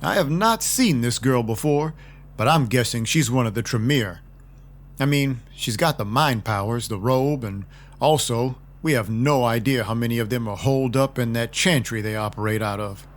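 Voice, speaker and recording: An actor playing a world-weary but compassionate vampire detective, narrating an audio drama in a dry, conversational first person. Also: male voice, mid-range voice, moderate pace, close to an everyday voice. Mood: dry, explanatory. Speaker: narrator and lead.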